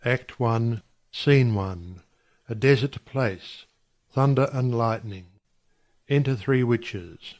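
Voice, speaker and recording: A man's voice: medium pitch, slow pace, a little mellow. A man narrating a play, reading out scene headings and stage directions in scripted audiobook style.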